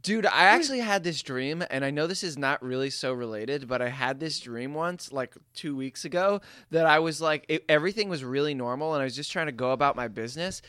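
Bright, crisp-voiced man chatting on a podcast. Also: on the high side, lively intonation, a little fast, few pauses, dry recording, clean studio sound. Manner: rambling, jokey. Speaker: podcast co-host. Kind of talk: casual comedic chat. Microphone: close mic recorded separately from video call.

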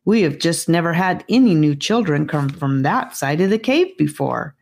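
Woman as a serious, animated boy guard. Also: low voice, normal speed, vocal fry, exaggerated voice. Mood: serious, wary. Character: serious ten-year-old boy guard of a cave kingdom.

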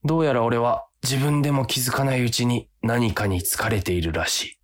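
An actor playing a weary, easily tempted office worker who narrates a comic radio drama with grumbling, self-deprecating drama. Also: male voice, medium pitch, brisk, natural voice. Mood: wry narration.

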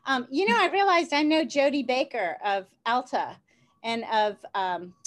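Enthusiastic podcast host from New Orleans, a woman with a raised pitch. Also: unhurried. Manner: enthusiastic, chatty. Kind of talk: podcast interview.

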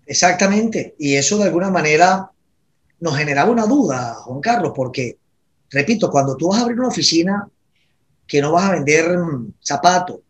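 A man's voice: a little high, animated, normal speed. A man with a Venezuelan accent explaining things conversationally in an interview, posing questions and answering them himself. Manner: explanatory, uses rhetorical questions. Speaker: interview guest, consulting firm executive.

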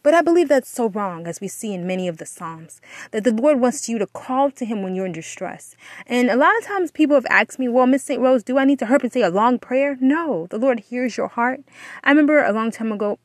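A woman's voice: a little high, lively intonation, brisk, dry recording. Woman giving earnest devotional talk, dry sound. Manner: earnest, exhorting, repeats phrases for emphasis. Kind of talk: devotional encouragement talk with prayer.

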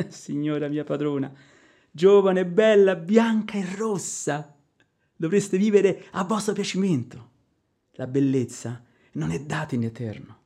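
Husky, fussy old manservant. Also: male voice, fairly high pitch, animated, measured pace, dark tone. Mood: coaxing. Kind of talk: radio drama acting.

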